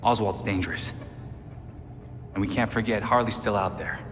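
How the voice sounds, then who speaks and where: Game hero, male actor: earnest young man, fairly low, level-headed and cautious, long reverb. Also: moderate pace, close to an everyday voice. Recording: long reverb under music.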